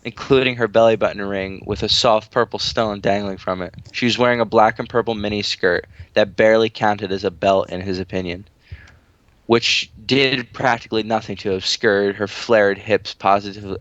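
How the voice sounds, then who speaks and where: A man's voice: fairly low pitch, steady tone, normal speed, a bit bright, no echo, dull audio. Giggly man reading a story to friends. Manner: giggly, crude, easily derailed. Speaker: reader on a comedic story-reading show with friends. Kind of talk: casual group banter and amateur story reading.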